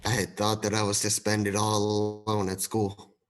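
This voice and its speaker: A man playing an old miser in a radio play, husky and hesitant, with a dramatic delivery. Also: fairly low pitch, monotone, normal speed, slight background noise, natural voice. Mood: wistful. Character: grumpy, fearful old miser softening into wistfulness.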